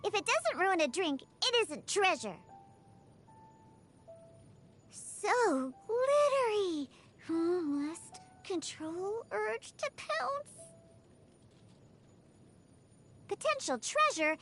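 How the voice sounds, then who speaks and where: A woman's voice: very high voice, big pitch swings, slow, fairly bright tone, faint music behind, heavily acted. A woman voicing a feisty, proud young cat-eared girl bartender in a video game, pouty and bratty with cutesy delivery. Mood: curious. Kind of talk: video game character voice lines.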